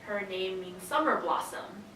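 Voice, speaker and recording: A woman's voice: mid-range voice, animated, unhurried. Echoey, distant young woman. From Canada. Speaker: interviewee. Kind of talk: casual interview answers. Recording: echoey, distant microphone, noisy room.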